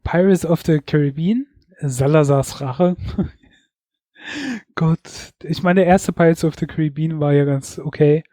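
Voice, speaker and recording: A man's voice: on the high side, unhurried, mellow, no echo, clean studio sound. A man co-hosting a podcast, chatting casually through a year-in-review of films, hedging with fillers. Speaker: podcast co-host.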